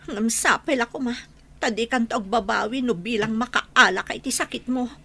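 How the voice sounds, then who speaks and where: Village wife, radio drama: scolding and worried, high, sharp voice. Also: female voice, normal speed, creaky, breathy edge, full character voice. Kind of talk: Ilocano radio drama acting.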